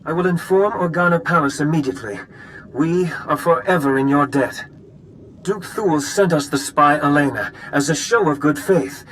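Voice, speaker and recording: An actor playing a courteous, dutiful noble house envoy in a video game, formal and polite. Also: male voice, fairly high pitch, normal speed, fairly bright tone, slight background noise, lightly acted, low-quality recording. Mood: grateful, resolute.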